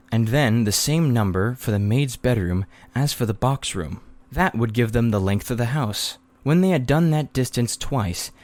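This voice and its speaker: A man's voice: medium pitch, darkish tone. A man reading aloud a children's story as scripted audiobook narration. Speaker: audiobook reader.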